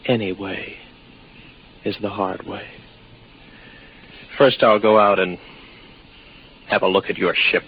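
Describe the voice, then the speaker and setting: A man's voice: fairly low pitch, steady tone, slow pace, pauses often. An actor playing a lonely, weary spaceman with a detached, wry, hesitant musing manner, in a 1950s radio drama. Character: weary lonely spaceman stationed on Pluto, doubting his senses. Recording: noisy muffled 1950s radio recording.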